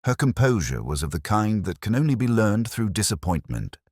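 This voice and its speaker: A man playing a melancholy, erudite older police inspector, narrating a detective story in a measured, reflective and wry literary style. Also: lowish voice, sing-song, a little mellow, dry recording, studio quality. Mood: brooding, reflective. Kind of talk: slow literary first-person detective narration.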